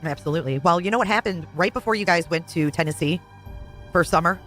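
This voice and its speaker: A woman's voice: on the low side, fast talker, a bit bright, soft background music. A woman hosting a casual panel chat, speaking in a blunt, informal way. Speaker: panel show host.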